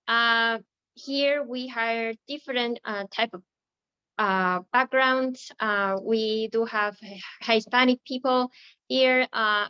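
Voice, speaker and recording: A woman from Ecuador with an Ecuadorian Spanish accent, a recruiting manager presenting her company on a video call, talking slowly with many fillers. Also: on the high side, pushed and bright, smooth, muffled recording. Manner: slow and halting, many fillers. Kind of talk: presenting company slides on a webinar.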